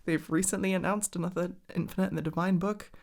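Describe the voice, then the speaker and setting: A woman's voice: lowish voice, steady tone, fast talker, a little mellow. A woman rambling casually in an ASMR chat, enthusiastic and sweary, with hesitant, meandering delivery. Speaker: hobby ASMR content creator.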